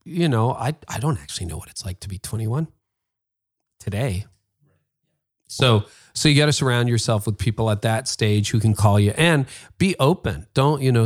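A man in his fifties with a Toronto Canadian accent, talking emphatically in a casual interview and sarcastically mimicking other people's excuses. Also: lowish voice. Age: 50s. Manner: emphatic, animated, mock-quoting excuses with sarcasm. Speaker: podcast host being interviewed.

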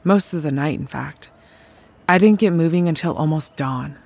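A woman's voice: deep voice, normal speed, gravelly, a little mellow, slightly rough, heavily acted. A woman playing a resourceful drifter mechanic, telling of travels in a naturalistic audio drama, conversational and wry. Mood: wistful, tender.

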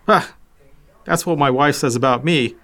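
An actor playing a casual, helpful electronics repair shop worker in a naturalistic audio drama. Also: male voice, fairly high pitch, normal speed, fairly bright tone. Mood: friendly, obliging. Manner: casual, obliging.

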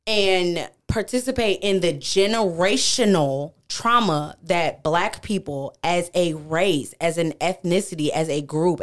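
A young woman in her twenties makes her case on a podcast. She is blunt and heated, swears freely, and keeps pressing her point over interruptions. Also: lowish voice. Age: 20s. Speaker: podcast host. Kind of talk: casual podcast debate.

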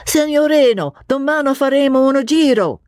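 Cab driver, acted by a woman for classic audiobook: pitched up, confident, engaging, hailing from afar. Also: lively intonation, fairly quick, darkish tone, no echo, clean studio sound. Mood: cheerfully certain. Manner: calling out, engagingly certain. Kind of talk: dramatic audiobook reading.

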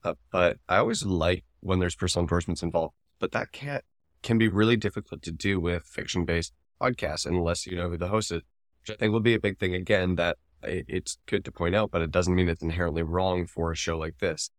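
A man's voice: deep voice, a little fast, no room sound, clean studio sound. A man co-hosting a podcast, explaining his opinions in casual discussion. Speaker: podcast co-host, advertising commentator.

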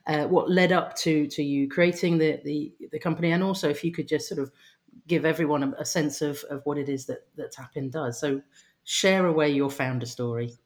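Podcast host, mid-pitched voice, friendly, restarts questions a lot. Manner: friendly, halting rephrased questions. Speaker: interview host. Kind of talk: casual interview hosting.

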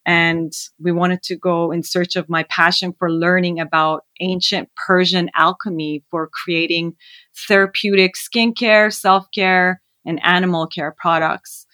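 A woman speaks with a slightly low voice, earnest and a little hesitant, often pausing on fillers. The sound is dry and close, with no room echo. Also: fairly even intonation, bright, forceful tone. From born in Iran, raised in Canada. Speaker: guest, skincare founder. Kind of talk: casual interview conversation.

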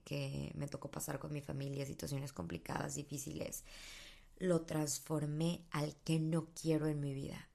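A woman's voice: low-pitched, vocal fry, darkish tone. A woman, candidly sharing personal stories on her podcast with many fillers. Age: 20s. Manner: candid, thinking aloud with fillers. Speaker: podcast host. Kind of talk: casual personal monologue.

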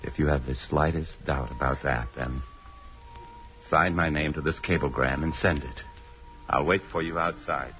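An actor playing an earnest man in a mid-century radio drama, speaking in a measured, deliberate way. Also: very deep voice, fairly even intonation. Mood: earnest, solemn. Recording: dull old radio recording with music.

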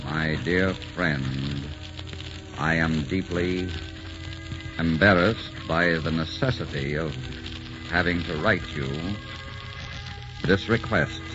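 An actor playing a tormented, devoted young writer in a 1940s radio drama, theatrical and fervent. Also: male voice, deep voice, slow, pauses often. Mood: embarrassed, grave. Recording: dull vintage 1940s radio recording, music behind.

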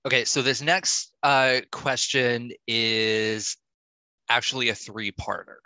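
A man works as a podcast host, putting casual questions to a guest. His voice is bright and close with a dry sound, and his pace is slow. He sounds encouraging and keeps his responses short. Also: mid-range voice, fairly even intonation, no room sound. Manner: encouraging, brief approving replies.

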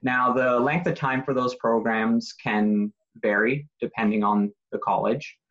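A man's voice: mid-range voice, fairly even intonation, unhurried, a bit bright. Methodical man, slightly dull sound. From Canada. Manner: methodical, explanatory, frequent ums and uhs. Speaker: paralegal guest. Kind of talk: interview answers.

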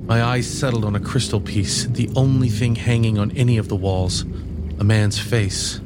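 An actor playing a brooding narrator of a dark genre story, reading from a script. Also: male voice, mid-range voice, steady tone, normal speed, close to an everyday voice. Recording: loud ambient engine-like noise behind.

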